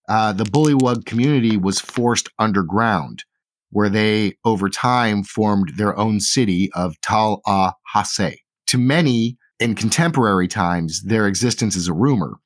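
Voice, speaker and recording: A man narrating a tabletop fantasy game as game master, speaking conversationally to his players. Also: lowish voice.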